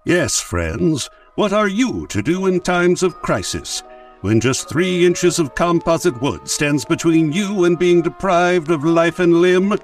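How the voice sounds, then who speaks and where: A man acts out a pompous vintage radio pitchman. His delivery is grandiose and animated, slightly distant, over a faint music bed. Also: unhurried. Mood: earnest selling. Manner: grandiose salesmanship. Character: smooth-talking pompous insurance pitchman of old radio. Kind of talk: 1940s radio advertisement.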